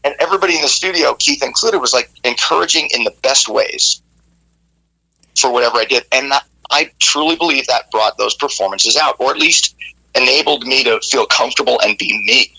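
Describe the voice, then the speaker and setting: Gravelly, sharp-voiced rock singer. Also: male voice, mid-range voice, a little fast, low-quality recording. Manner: candid, animated, casually profane. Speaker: guest, rock vocalist. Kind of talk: casual interview storytelling.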